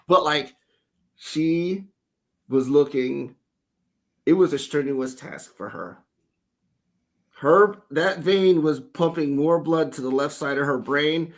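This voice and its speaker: Male livestream host, slightly higher voice, casual and animated, swears a bit. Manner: animated, loose storytelling with profanity.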